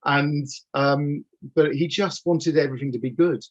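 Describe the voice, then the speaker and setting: A man's voice: fairly high pitch, fairly even intonation, normal speed. A man in his fifties talking casually and enthusiastically as an interview guest, telling anecdotes over a video call from a home office. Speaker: audio drama producer, interview guest. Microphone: computer mic on video call. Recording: dull, lo-fi video call audio.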